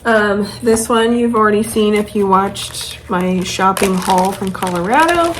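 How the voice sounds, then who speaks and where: A woman's voice: mid-range voice, measured pace. A woman chatting casually to camera as a craft vlogger, gushing and thinking aloud as she shows off patterns. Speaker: cross-stitch vlogger showing patterns. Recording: clearly noisy room ambience.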